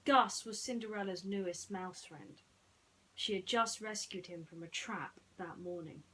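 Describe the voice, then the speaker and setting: A woman hosting and narrating bedtime stories in a gentle storytelling style. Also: medium pitch, measured pace, slight background noise, rough recording.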